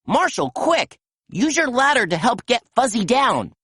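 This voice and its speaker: A woman voicing a confident young boy who leads a team of rescue pups, calm and encouraging as he gives orders. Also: a little high, measured pace, husky, no room sound, studio quality, voice pushed up, full character voice.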